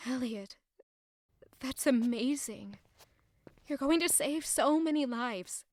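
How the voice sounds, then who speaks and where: Actress as headstrong young noblewoman, high bright voice, earnest, guarded, close dry sound, fantasy audio drama. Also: takes it slowly, no echo.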